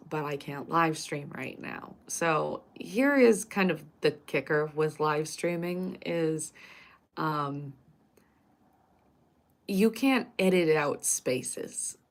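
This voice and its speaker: A woman talks casually to her live stream chat, her voice raspy and stuffed up from a lingering cold. She sits a little low in pitch and wanders from thought to thought, backtracking and correcting herself. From Canada. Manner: rambling and self-correcting. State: congested from a cold.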